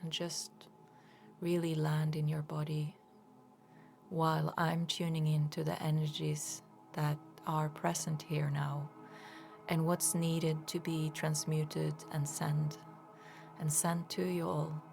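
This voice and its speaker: A woman leading a guided meditation as an energy healer, speaking in a soft, soothing, unhurried way with breathy phrase endings. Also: low voice, level tone, over music.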